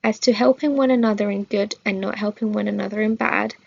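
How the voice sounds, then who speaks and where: A woman reads a religious lesson from a script with a measured, formal delivery. Her voice sits at a middling pitch with a gravelly fry in it. The recording is a little dull and lo-fi. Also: steady tone. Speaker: reader of a religious study.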